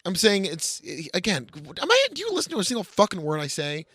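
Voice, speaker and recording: A man's voice: high-pitched, huge pitch swings, a little fast, pushed and bright, no echo, studio quality. A man co-hosting a comedy podcast, reading a screenplay and bantering casually with profane, exasperated asides. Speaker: podcast co-host.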